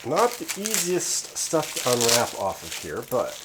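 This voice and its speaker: A man's voice: medium pitch, measured pace. A man giving a casual, folksy hobbyist product review, sometimes reading aloud in an informal way. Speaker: amateur radio hobbyist reviewing gear. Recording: roomy echo, steady background noise.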